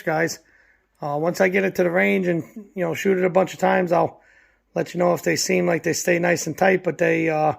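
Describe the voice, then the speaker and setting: A man talks through a casual product review. His voice is hoarse from a cold, gravelly and rough, and sits fairly high for a man. His delivery is relaxed and folksy, full of fillers. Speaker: channel host.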